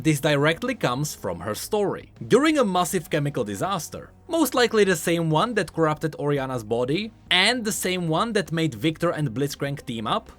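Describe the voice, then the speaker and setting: A man's voice: on the high side, a bit bright. A man giving scripted explainer commentary as a video host, in an explanatory, emphatic manner.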